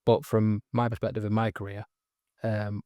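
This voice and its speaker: A man from the north of England asks questions in a somewhat low voice. He talks casually, with lots of fillers and restarts. The recording is dry and close. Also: flat delivery, moderate pace, mellow, dry recording, muffled recording. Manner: casual, hesitant, with many fillers. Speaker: interviewer, host. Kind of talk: interview questions.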